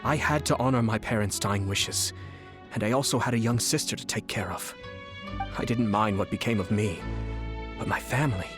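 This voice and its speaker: An actor playing a refined, shrewd young clan lord in a video game, speaking in a formal, measured, courtly way. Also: male voice, lowish voice, close to an everyday voice. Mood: grave, resolute. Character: refined young nobleman, calm, shrewd clan head and commissioner. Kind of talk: scripted video game character dialogue. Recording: game music behind the voice.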